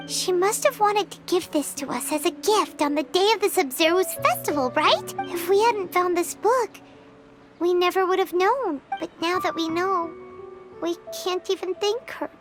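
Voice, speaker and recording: A woman voicing a small, excitable, childlike fairy companion in a video game, squeaky and exclamatory. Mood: wistful. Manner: refers to self in third person, exclamatory. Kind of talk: video game cutscene voice acting. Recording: loud game music underneath. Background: music.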